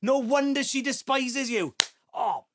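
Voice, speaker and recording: An aggrieved adult son in an audio drama. His voice is pushed high, agitated and full of accusation. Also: a little slow, noisy background. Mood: angry, accusing. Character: angry, accusing adult son with an axe to grind.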